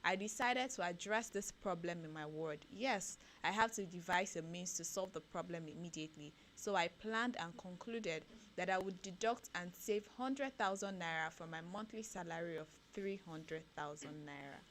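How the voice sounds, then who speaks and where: A Nigerian woman reads out newspaper headlines on a radio breakfast show, then talks them over in an animated way. Her voice sits a little low, and a faint noise hums under the studio sound. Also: moderate pace, few pauses. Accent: Nigerian English. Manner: reads headlines then comments animatedly, rising when excited. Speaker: radio breakfast show co-host reading news. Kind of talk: newspaper review with commentary.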